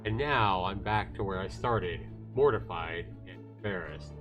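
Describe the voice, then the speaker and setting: A man's voice: low voice, expressive, measured pace, highs cut off. A man livestreaming, narrating a visual novel aloud expressively with casual asides, game music playing behind him.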